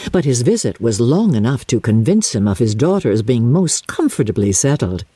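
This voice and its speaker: An older woman with a deep, husky voice reads classic fiction as a measured, theatrical, expressive narrator. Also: no echo. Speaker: audiobook narrator. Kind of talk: dramatic literary narration.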